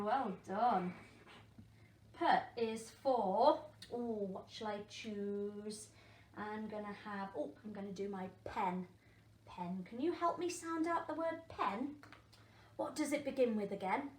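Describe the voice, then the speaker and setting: A woman, a primary school teacher, leading an interactive phonics lesson for young children, encouraging them and asking questions with pauses for answers. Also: on the high side. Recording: echoey room with faint background noise.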